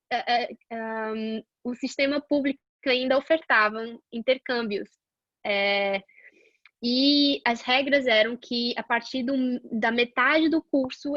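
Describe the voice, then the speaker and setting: A woman's voice: fairly high pitch, a bit bright, dry recording, dull audio. A young woman from Portugal telling her own career story in a casual, chatty way, with many fillers and restarts. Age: young adult. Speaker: guest scientist sharing her career path.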